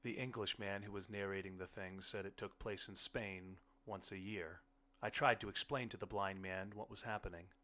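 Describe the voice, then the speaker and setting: A man narrates a first-person short story as an audiobook. His voice sits fairly low, with a strikingly bright, forceful edge to the scripted reading. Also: fairly even intonation, moderate pace.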